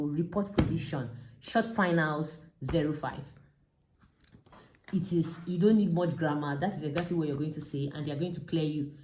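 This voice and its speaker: A woman with a Nigerian accent speaks in a low, mellow voice. She teaches informally, like an instructor at a diagram, going step by step and repeating key terms. The room around her is noticeably echoey. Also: slight background noise. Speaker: pilot and aviation instructor. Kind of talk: informal explanatory lesson with a drawn diagram.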